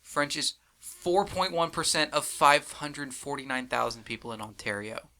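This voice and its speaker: A man's voice: fairly high pitch, steady tone, moderate pace, sharp tone. A young man co-hosting a podcast, teasing his friend and hyping up the next segment. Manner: teasing, mock-insulting, hypes segments. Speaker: podcast co-host. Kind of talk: casual sports chat.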